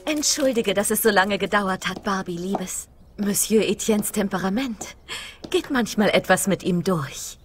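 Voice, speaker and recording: A woman playing the composed, gracious head of a riding academy, speaking politely and with patient authority, as in an animated film. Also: medium pitch, measured pace, soft background music, natural voice. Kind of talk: animated film dub acting.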